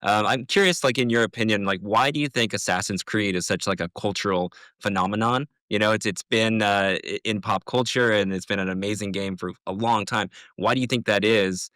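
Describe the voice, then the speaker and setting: A man's voice: fairly low pitch, a little fast, hardly any pauses, fairly bright tone, dry recording, clean studio sound. A man co-hosting an interview podcast, asking questions casually with frequent "like". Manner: casual, uses "like" often. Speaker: podcast co-host.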